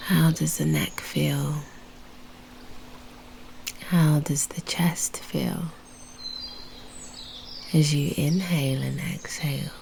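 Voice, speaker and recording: Very slow, hushed British woman guiding meditation. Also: deep voice, steady tone, a little hiss or hum. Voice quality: soft, breathy, often near whisper. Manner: soothing, unhurried, with long pauses. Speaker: meditation guide and host. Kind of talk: guided meditation narration.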